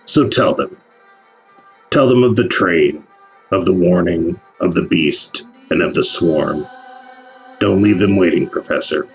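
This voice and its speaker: An actor playing a sly, mysterious figure in a radio drama, delivering a mocking, insinuating monologue. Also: male voice, low voice, a little slow, creaky, pauses often. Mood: taunting. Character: sly, taunting, mysterious narrator goading an old professor. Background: music.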